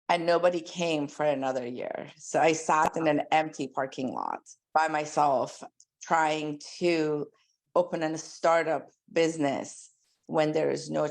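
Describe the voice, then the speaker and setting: A woman in her forties, born and raised in Iran, a pediatric dentist chatting as a podcast guest with lots of likes and ums. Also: deep voice. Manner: chatty and animated, frequent "like" and "um". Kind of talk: casual interview storytelling.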